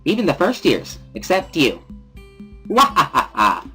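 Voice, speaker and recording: Man putting on a loud, high-pitched cheerful schoolgirl. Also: huge pitch swings, a little slow, faint music behind, full character voice. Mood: boisterous. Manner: emphatic, scolding yet cheerful. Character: cheerful, loud teenage schoolgirl relaying a bossy class president's scolding.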